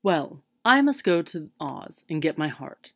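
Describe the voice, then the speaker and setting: A woman voicing a gentle male man of tin who speaks plainly and mildly, in a storybook reading. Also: low-pitched, sing-song, a little slow, creaky, heavily acted.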